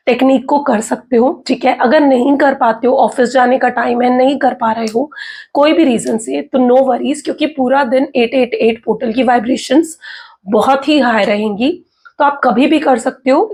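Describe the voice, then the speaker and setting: A woman tarot reader with an Indian accent, hoarse from a sore throat, chatting casually to viewers and checking in with "okay" as she explains. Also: high-pitched, a little mellow. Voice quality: husky. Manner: chatty, mixes in English, frequent "okay" and "theek hai" check-ins.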